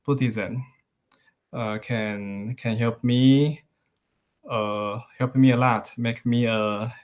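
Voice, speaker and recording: A man's voice: mid-range voice, clear, resonant tone. A man from Taiwan giving a hesitant self-introduction in English at a meditation meeting, with many fillers and restarts. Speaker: meeting participant.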